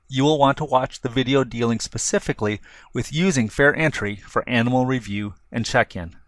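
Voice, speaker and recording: A man reading a scripted training narration in a measured, instructional way, setting out points step by step. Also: mid-range voice, a bit bright, no echo.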